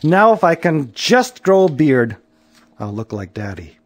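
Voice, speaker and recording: Man as earnest, animated young storybook boy. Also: fairly high pitch, measured pace, pitched above the performer's own voice. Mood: delighted.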